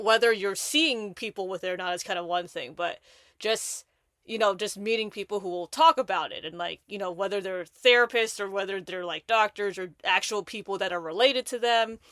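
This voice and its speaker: A woman's voice: mid-range voice, fairly quick, dry recording. Chatty woman podcast host, dry sound. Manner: animated, rambling, many restarts and fillers. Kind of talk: casual conversation.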